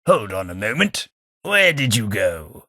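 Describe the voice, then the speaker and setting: An actor playing a refined, dry-witted male ghoul companion with an upper-class Southern English accent and a husky voice, recorded close in a studio for a game. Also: on the low side, sing-song, unhurried, bright, forceful tone, no room sound, exaggerated voice. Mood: wry. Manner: dry, wry, refined delivery. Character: posh, witty male ghoul gentleman companion. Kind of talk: video game voice lines. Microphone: close studio mic.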